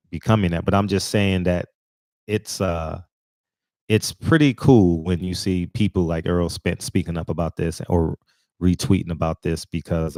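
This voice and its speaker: A man's voice: low-pitched, vocal fry, darkish tone, no room sound. A man in his forties giving a casual, rambling commentary monologue as host of his own channel, full of fillers and restarts. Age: 40s.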